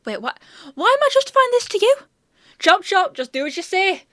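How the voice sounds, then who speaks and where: A woman's voice: very high voice, sing-song, fairly quick, fairly bright tone, no room sound, heavily acted. A woman playing a bossy, teasing female apothecary in a fantasy audio roleplay, with a chatty, sardonic, playfully scolding manner. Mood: brisk, bossy.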